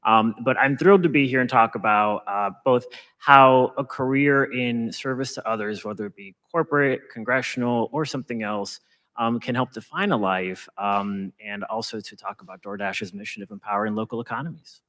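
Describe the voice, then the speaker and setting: A man's voice: medium pitch, moderate pace, a bit bright. A man on a conference panel introducing himself in an upbeat, polished way. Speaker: panelist, communications executive. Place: conference hall. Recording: long hall reverb.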